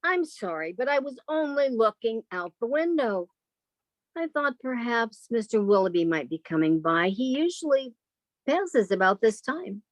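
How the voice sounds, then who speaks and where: A woman's voice: on the high side, sing-song, moderate pace, no room sound, poor audio. A woman playing a timid, yielding younger spinster sister in a radio drama, hesitant and apologetic. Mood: nervous, apologetic. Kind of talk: old time radio drama acting.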